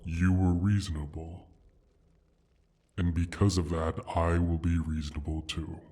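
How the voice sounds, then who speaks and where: Casting: ancient-sounding fantasy dragon, extremely low and husky, proud, menacing, condescending, for an intimate roleplay audio. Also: male voice, level tone, dark tone, full character voice. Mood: calm menace. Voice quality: husky, breathy rumble with whispered edges. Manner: deliberate, brooding, condescending, sighing. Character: injured, proud male dragon guarding his hoard, menacing. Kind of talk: slow theatrical fantasy ASMR roleplay monologue.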